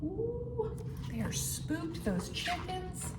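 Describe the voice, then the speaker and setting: A high-voiced woman leads an interactive storytime for young children. She speaks slowly and simply, stretching out the suspense and putting questions to her listeners. The sound is distant and echoey, with clear background noise. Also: over loud music. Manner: animated, exaggerated suspense with audible gasps and questions to listeners; simple child-directed phrasing, counting aloud slowly. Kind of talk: interactive picture-book read-aloud for young children. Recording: roomy, distant mic, clearly noisy background.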